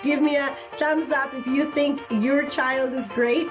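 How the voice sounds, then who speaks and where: A woman's voice: high voice, steady tone, measured pace. Upbeat woman hosting over music. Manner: upbeat, addresses the audience directly. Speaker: host. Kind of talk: hosting an online panel. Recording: clear background music.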